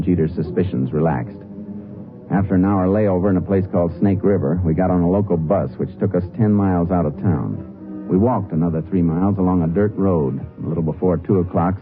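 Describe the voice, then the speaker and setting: A low, steady male voice with an American accent , an actor as a lawman, narrates in a businesslike way. Music plays behind him on a tinny old recording of a 1950s radio crime drama. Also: close to an everyday voice.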